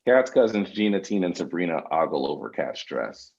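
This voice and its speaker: A man's voice: low-pitched, sing-song, fairly quick, creaky, a little mellow, breathy edge. A man reading scripted stage directions as narrator, in a slightly lo-fi recording. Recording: slightly dull, lo-fi sound.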